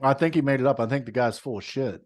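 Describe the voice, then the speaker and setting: A man co-hosting a casual podcast, going off on a loud, profane rant. He sounds incredulous and mocking, and his voice jumps higher when he gets worked up. His pitch sits in the middle for a man. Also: fast. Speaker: podcast co-host. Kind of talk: casual chat.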